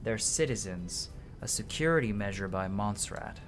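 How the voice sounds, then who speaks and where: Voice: deep voice, animated, moderate pace, faint music behind. A streamer reads game dialogue aloud in a dramatic way, stumbling now and then and correcting mid-word.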